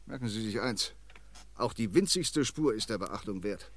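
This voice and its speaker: A man playing a shrewd, dryly ironic police commissioner in an old radio crime drama, talking in a measured, lecturing way. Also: on the low side, animated. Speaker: police inspector.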